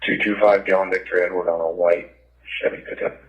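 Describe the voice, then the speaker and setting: A male police officer rattles off clipped radio check-ins very quickly. His voice is on the low side, coming through a dull, echoey scanner channel. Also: level tone. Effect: two-way police radio.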